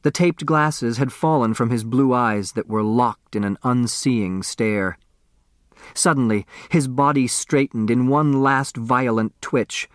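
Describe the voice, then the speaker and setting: A man's voice: mid-range voice, slow, no echo. Man narrating a novel, very dry sound. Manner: dramatic, expressive reading. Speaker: audiobook narrator.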